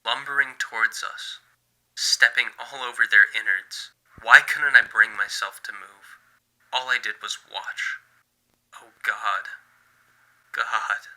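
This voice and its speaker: A man acts out a haunted male survivor in a horror tale. His voice is hushed and often sinks to a whisper. He speaks slowly, heavy with guilt and despair. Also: mid-range voice, fairly even intonation, poor audio. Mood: haunted, remorseful. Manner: slow, hushed, remorseful, whispery. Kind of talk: horror story recitation.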